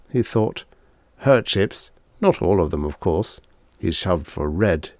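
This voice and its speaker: A man reading a short story aloud as an audiobook narrator, with expressive, scripted delivery. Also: sits low, dry recording.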